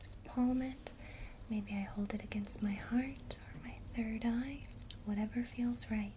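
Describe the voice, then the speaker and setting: Slow, gentle whispering woman. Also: a little high, fairly even intonation, background noise. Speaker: ASMR creator teaching witchcraft. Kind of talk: whispered ASMR lesson.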